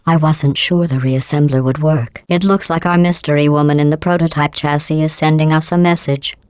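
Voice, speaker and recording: A low, smooth female machine intelligence, as an actress plays her that sneers through politeness. The voice is cool and condescending, recorded dry and close for a game. Each barb comes out level and unhurried. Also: dry recording, natural voice. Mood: deadpan mocking. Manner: dry, condescending insults delivered calmly. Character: cold sarcastic female AI overseer. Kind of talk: video game voice clips.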